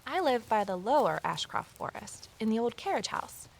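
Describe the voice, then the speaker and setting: A curious woman new to conservation work in an audio drama. Her voice sits fairly high, and she talks in an eager, conversational way full of interest. Outdoor ambience is clearly heard behind her. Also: big pitch swings, normal speed, slight background noise. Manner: inquisitive, conversational. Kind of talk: audio drama dialogue and first-person narration.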